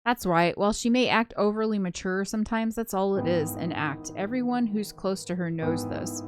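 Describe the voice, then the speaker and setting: A woman's voice: medium pitch, normal speed, close to an everyday voice. Woman voicing an introspective ghost girl over music. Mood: tender, puzzled. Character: hazy-headed young ghost girl narrator, brooding and sardonic. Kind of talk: visual novel read aloud, introspective first-person narration. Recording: prominent background game music.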